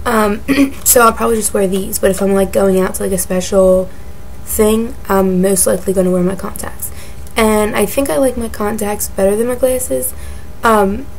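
A woman in her twenties with a raspy voice from a cold, rambling casually to a webcam in her bedroom with lots of ums and likes. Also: mid-range voice, steady tone, normal speed, slight background noise, low-quality recording. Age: 20s. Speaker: beauty vlogger. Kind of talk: casual chat answering a tag. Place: bedroom, indoors. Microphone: webcam at desk.

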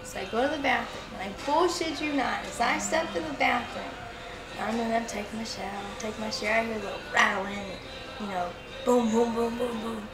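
A woman's voice: a little high, moderate pace. A woman in her twenties telling her own story candidly in an interview, rambling and emotional, swearing freely. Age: 20s. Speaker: street interview guest. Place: indoors in a fast-food restaurant seating area. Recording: echoey indoor space with music playing.

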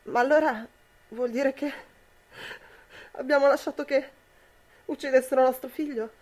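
A woman's voice: sits high, steady tone, moderate pace, darkish tone. A young woman playing a loving, incredulous wife in an amateur radio drama. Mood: shocked. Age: young adult.

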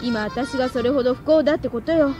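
A woman playing a plucky, cheeky high-school girl with worries, in a Japanese radio drama. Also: fast talker, music in the background, natural voice.

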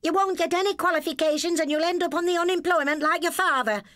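Woman reader as a shrill, scolding stepmother. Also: fast, no echo, studio quality. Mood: furious. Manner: sharp, scolding. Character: angry, yelling stepmother.

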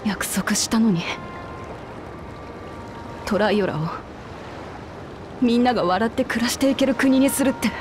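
A passionate young lioness queen of a fantasy game , acted by a woman, speaks in a fairly high voice. Her talk is rough, tomboyish and earnest, delivered as dramatic game acting. Clear background ambience sits behind her. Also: unhurried, pauses often, background noise, close to an everyday voice. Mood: anguished, self-reproaching.

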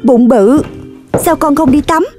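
A woman voicing a fussy, doting animal mother in a children's cartoon, motherly and quick to scold. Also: high voice. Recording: music behind the voice.

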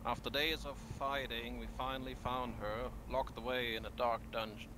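A man's voice: medium pitch, little pitch movement, normal speed, natural voice. A man playing a loyal, grave beastman army commander, telling a tale formally in online game role-play. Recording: noisy amateur game voice chat.

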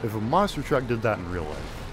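A young man giving casual, excitable commentary while playing a video game, talking to himself through a headset mic. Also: fairly low pitch, brisk, background noise. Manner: excitable, self-mocking, talks to himself. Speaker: gaming YouTuber. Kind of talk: casual let's-play commentary.

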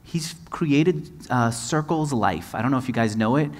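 A man moderating a conference panel, his pitch swinging up and down as he acts out conversations. He talks into a headset mic on a stage in front of a big audience. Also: moderate pace. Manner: expressive, gestures broadly, quotes others in dialogue. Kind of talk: animated onstage storytelling.

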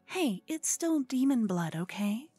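A woman playing a cute, obsessed con-woman fangirl in a comedic ASMR roleplay, soft, often whispery, eager and cheekily salesy. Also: fairly high pitch, very animated, measured pace, slightly rough, faint music behind, full character voice. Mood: earnest, defensive. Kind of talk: ASMR roleplay, comedic one-sided dialogue.

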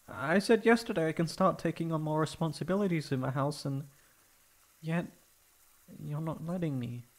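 A man playing a prickly young catboy in an ASMR roleplay, haughty but secretly soft-hearted and stammering when flustered. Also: a little high, moderate pace, natural voice. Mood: defensive, earnest.

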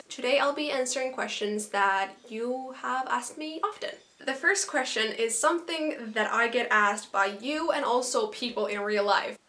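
A woman's voice: on the high side, sharp tone. A young woman from Finland with a Finnish accent, whose first language is Finnish, chatting earnestly to camera from her small bedroom. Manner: chatty and earnest, with little laughs. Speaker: YouTuber. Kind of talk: casual talk to camera. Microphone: camera in front at close range.

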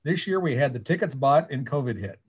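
A man's voice: mid-range voice, expressive, a little fast, slightly rough. A man in his sixties from California, telling his story in a casual, plainspoken way with wry asides, speaking into a webcam from a small office. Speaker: guest panelist. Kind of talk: casual storytelling on a video call. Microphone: video call webcam.

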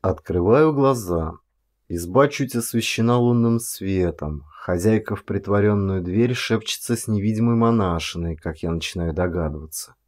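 A man's voice: lowish voice, moderate pace, a little mellow. A man reading literary prose as an actor narrating an audiobook, expressively.

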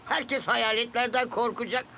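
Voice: mid-range voice, fairly even intonation, normal speed, gravelly, very noisy, close to an everyday voice. An actor voicing a greedy, scheming property developer in a children's cartoon, with a gloating manner.

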